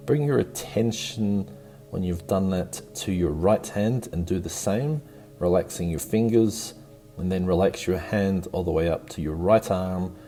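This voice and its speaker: A man with a deep, mellow voice guides a meditation over background music. He gives slow, soothing instructions in a gentle and encouraging way, never hurried.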